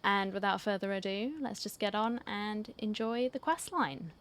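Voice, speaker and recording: A woman's voice: medium pitch, moderate pace, a bit bright, clear, resonant tone, faint music behind. A woman giving casual, joking playthrough commentary on a game, chatty and wry. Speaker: gamer, streamer.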